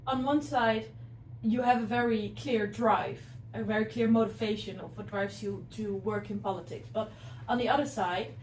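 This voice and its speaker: A woman's voice: on the high side, fairly even intonation, normal speed. A woman city councillor speaking earnestly and directly in a casual discussion. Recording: echoey room, mic some distance off, faint noise.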